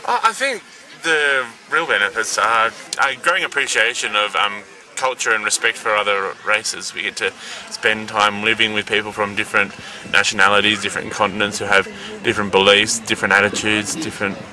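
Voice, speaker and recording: A man being interviewed outdoors at a camp, speaking in a measured way with fillers. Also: lowish voice, sharp tone. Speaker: interviewee at a scout event. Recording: distant mic with a crowd behind.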